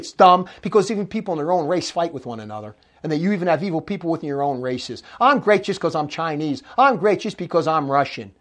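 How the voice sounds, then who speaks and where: A man giving a recorded religious lecture in an emphatic way, slowing down to read scripture aloud. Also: high voice. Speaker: preacher.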